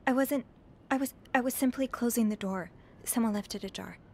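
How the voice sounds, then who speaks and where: An actress playing a nosy young hotel maid in a narrative game, polite and a little hesitant. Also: female voice, on the high side, brisk. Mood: nervous, deferential. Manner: hesitant, polite, stammers when flustered.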